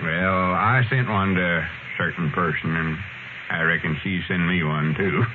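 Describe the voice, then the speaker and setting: A man's voice: deep voice, a little slow. A man playing an earnest, lovestruck old country storekeeper with a rural Southern accent and folksy, hesitant talk, in radio comedy style. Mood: coy, smitten. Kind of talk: 1930s-40s radio comedy acting. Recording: old radio broadcast, narrow band, noisy.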